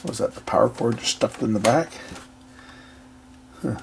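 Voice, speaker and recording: A man's voice: mid-range voice, moderate pace, a little mellow. A man giving unscripted hands-on commentary as a repair video host, thinking aloud and often trailing off or questioning himself. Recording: clear background noise, room ambience.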